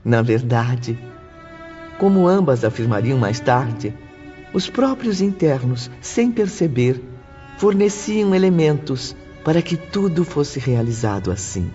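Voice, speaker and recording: A man narrating a scripted audiobook in a solemn, deliberate manner. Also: mid-range voice, very animated, warm tone. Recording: dull lo-fi audio over faint music.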